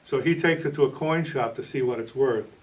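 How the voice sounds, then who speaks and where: A man's voice: medium pitch, fairly quick, far from the mic. A man in his sixties answering questions as an author in an interview. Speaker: novelist and playwright being interviewed.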